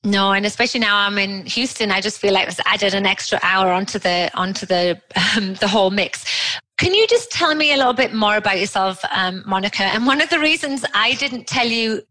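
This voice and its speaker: A woman's voice: mid-range voice, a little fast, bright, forceful tone, dry recording, low-quality recording. A bubbly woman in her forties hosts a chatty podcast interview. Her voice is heard close and dry, with no room sound. She talks in a friendly, casual way. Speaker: podcast host. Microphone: close podcast mic, video call.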